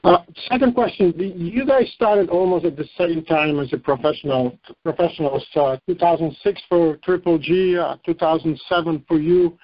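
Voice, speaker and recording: A man asking informal press questions over a conference phone line, halting and repeating his words. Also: fairly high pitch. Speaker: boxing reporter asking questions. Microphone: telephone conference call.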